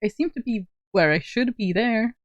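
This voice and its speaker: A woman streamer chatting on a call, soft-spoken and laughing often. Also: a little high, animated, normal speed, no echo, lo-fi sound. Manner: giggly, laughs often, speaks softly. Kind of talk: casual livestream chat on a call.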